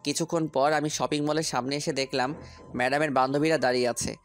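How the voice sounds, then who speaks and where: Humble young household servant, acted by a man, medium pitch, polite and deferential, audio story over music. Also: fairly even intonation, quick pace, natural voice. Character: humble, dutiful young male servant and driver. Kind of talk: Bengali audio story acting and first-person narration. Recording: music bed under voice.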